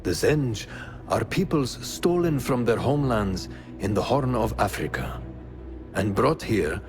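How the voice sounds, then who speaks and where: An actor playing a calm, wise male assassin mentor who speaks in a measured, grave way. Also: on the low side. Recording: game audio with music.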